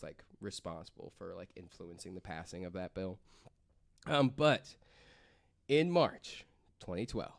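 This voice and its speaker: A man's voice: lowish voice, no room sound, studio quality. A man presenting a story on a casual podcast, reading from notes and rambling through asides peppered with "like".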